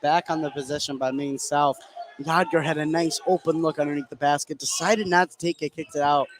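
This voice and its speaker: Male basketball color analyst, higher register, casual delivery amid clear gym noise. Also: steady tone, fairly quick. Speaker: color commentator. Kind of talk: casual live basketball commentary. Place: indoor high school gym. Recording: noisy gym background.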